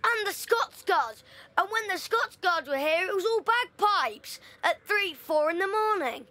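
Guarded wartime village boy, high voice, woman in the role; audio play. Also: animated, a little slow, bright, forceful tone, dry recording, full character voice. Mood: excited. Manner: reluctant, subdued about his father.

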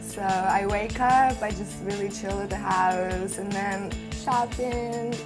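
Reality-show confessional: woman in her twenties from Italy, breezy, middle-pitched voice. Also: steady tone, takes it slowly. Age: 20s. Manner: breezy, chatty, lots of "like". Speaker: reality show cast member. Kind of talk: casual confessional interview. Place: bedroom, indoors. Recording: music underneath.

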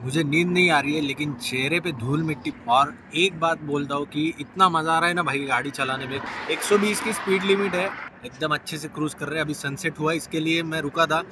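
A man's voice: fairly high pitch, fairly quick. A young man casually narrating a road-trip vlog from inside his car and at roadside stops, explaining routes and tips to his viewers. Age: young adult. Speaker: travel vlogger. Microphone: small camera held or mounted close. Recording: road and wind noise behind.

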